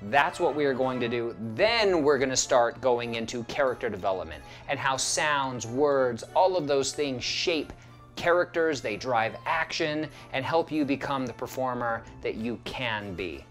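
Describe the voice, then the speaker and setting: Male professor in his 30s, encouraging lecture tone, studio set, soft music under. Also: medium pitch, expressive, measured pace. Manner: upbeat and encouraging. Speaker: acting professor. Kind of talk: lecture to camera.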